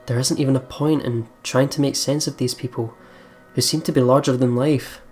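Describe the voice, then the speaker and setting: A man's voice: mid-range voice, fairly even intonation, normal speed, a little mellow. A man hosting a let's play, commenting and reading the story text aloud. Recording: clearly noisy background.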